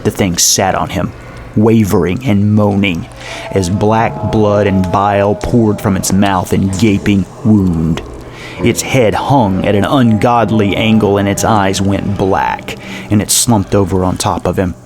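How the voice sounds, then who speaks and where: A man with a fairly low voice narrates a horror audio drama. His delivery is measured and dramatic, and music plays clearly behind him. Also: steady tone. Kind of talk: scripted horror story narration.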